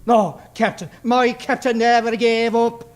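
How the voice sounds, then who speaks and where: A man playing an excitable, loyal Irish lieutenant in rags, in comic old-time radio style. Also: very high-pitched, unhurried. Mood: pleading, devoted. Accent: stage Irish.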